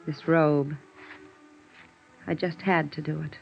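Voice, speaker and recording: An American actress playing a wounded, soft-hearted woman in a 1950s radio drama, speaking haltingly and intimately. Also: low voice, fairly even intonation, creaky, exaggerated voice. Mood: wistful, hurt. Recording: dull vintage radio recording, faint music.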